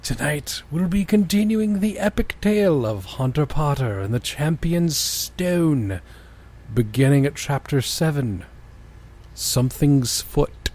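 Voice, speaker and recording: A man's voice: fairly high pitch, lively intonation, takes it slowly, a little mellow. A man hosting a comic reading of fan fiction, mixing mock-grand narration with amused asides. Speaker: podcast host.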